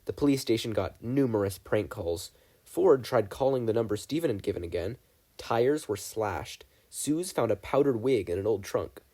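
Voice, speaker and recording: A man's voice: fairly low pitch, animated, normal speed, dark tone. A man reading a scripted story aloud as a narrator. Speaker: podfic reader.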